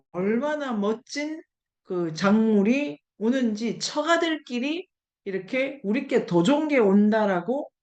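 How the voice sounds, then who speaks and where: Woman, seminar lecturer, medium pitch, conversational, thinking aloud, rhetorical questions, emphatic repetition. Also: echoey room. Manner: conversational, questioning, repeats key words for emphasis. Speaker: lecturer leading an online book seminar.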